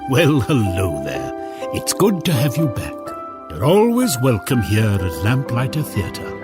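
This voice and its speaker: An actor playing a welcoming theatre host, introducing a radio drama over loud music. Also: male voice, mid-range voice, wildly swooping pitch, unhurried. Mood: warm welcome.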